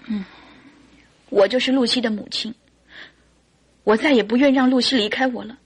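A woman's voice: a little high, steady tone, moderate pace, slightly rough. An actress playing an earnest, devoted mother and wife, pleading yet resolute, in classic Mandarin radio drama style.

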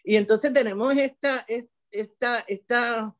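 A woman's voice: on the high side, unhurried. A middle-aged woman from Puerto Rico with a Puerto Rican accent, a teacher talking as an interview guest, restarting her phrases often and tagging them with "¿verdad?". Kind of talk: conversational interview.

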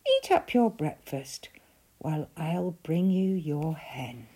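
A woman voicing a kind giantess, the wife of a giant, who talks in a hurried, protective way. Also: low voice, big pitch swings, dark tone, breathy edge, exaggerated voice. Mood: soothing.

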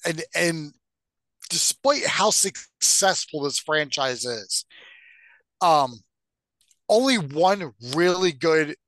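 A man's voice: on the high side, a bit bright, dry recording. A man co-hosting a podcast in casual, opinionated chat about entertainment, blunt and given to casual swearing. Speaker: podcast co-host.

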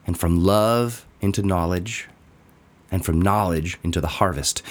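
A man reading a scripted daily devotional in a measured, deliberate way with pauses between phrases. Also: sits low.

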